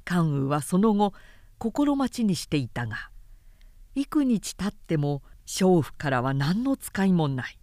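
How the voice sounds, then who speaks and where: A woman narrating a historical novel as a scripted recitation, measured and dramatic in delivery. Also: fairly low pitch, very animated, warm tone, no room sound, clean studio sound.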